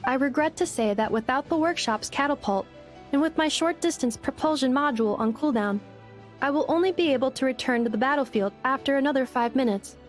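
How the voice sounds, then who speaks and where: A woman voicing a polite flying robot assistant in a video game, reporting in a precise, formal, measured way. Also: high-pitched, soft background music, natural voice. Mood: composed, formal.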